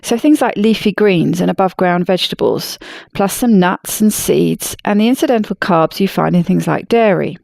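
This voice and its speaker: An upbeat, friendly woman hosts a podcast, reading her intro and chatting easily. Her voice has a gravelly fry at its edges. The sound is dry and close, with no room around it. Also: mid-range voice, darkish tone, no room sound. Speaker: podcast host. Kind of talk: scripted intro, then casual interview chat.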